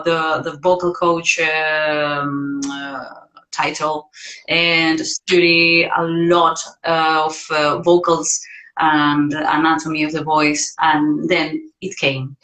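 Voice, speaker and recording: Slow-talking bright-voiced woman in her thirties. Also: lowish voice, roomy sound, poor audio. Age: 30s. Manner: hesitant, many fillers and restarts, lively when acting out memories. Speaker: vocal coach. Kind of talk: casual livestream chat. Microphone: phone or laptop on a video stream.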